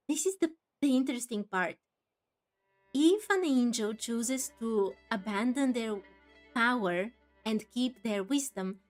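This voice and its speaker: A woman's voice: a little high, darkish tone. A woman hosting a game playthrough, musing aloud and adding exclamatory asides as she goes. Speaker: streamer, game playthrough host. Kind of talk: gaming commentary mixed with reading dialogue.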